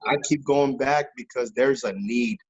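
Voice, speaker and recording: A man from Haiti, a pastor and music missionary, talking in an animated interview with many fillers and repeated phrases. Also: mid-range voice, a little slow, slightly rough, lo-fi sound. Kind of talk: casual interview testimony. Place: New York based, remote interview.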